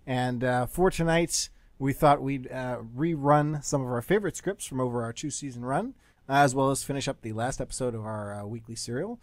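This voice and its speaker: Halting male radio host, dry sound. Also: medium pitch, dry recording. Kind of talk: informal live introduction.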